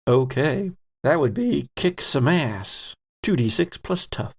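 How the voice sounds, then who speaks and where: A man's voice: medium pitch, very animated, slow pace, vocal fry. A man narrating a tabletop horror game as game master, describing scenes vividly and addressing the players directly.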